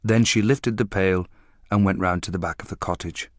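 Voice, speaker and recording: A man narrates a story in a quiet, measured voice pitched on the low side. The sound is close and dry, with no room around it. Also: steady tone, no room sound. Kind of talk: scripted audiobook narration.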